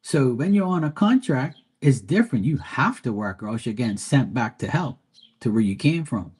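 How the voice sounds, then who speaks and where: A middle-aged man with a Bermudian accent talks in a husky, breathy voice of ordinary pitch. He rants bluntly and swears freely, with fillers and restarts, like an unscripted live-stream host. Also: very animated, normal speed, darkish tone. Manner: blunt, profane and heated, with repeated fillers and restarts. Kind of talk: casual ranting monologue.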